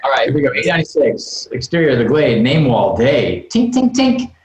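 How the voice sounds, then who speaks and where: A man's voice: medium pitch, wildly swooping pitch, brisk, soft background music. A man reading screenplay stage directions on a video call, with jokey asides. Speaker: screenplay narrator at a table read. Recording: roomy video-call audio.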